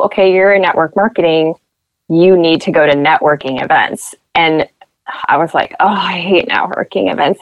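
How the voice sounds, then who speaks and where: A young woman with a flat Midwestern accent tells stories in a chatty, self-deprecating way. Her voice sits fairly low, and she brightens it when she quotes other people. The recording is close and dry, with no room sound. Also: no room sound, poor audio. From Nebraska. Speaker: podcast guest, model. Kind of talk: casual interview storytelling.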